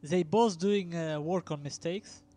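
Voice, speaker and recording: A man's voice: on the high side, mellow. Russian-accented man casting a game match. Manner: halting, many ums and uhs, chuckles. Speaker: esports commentator. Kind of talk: casual game commentary.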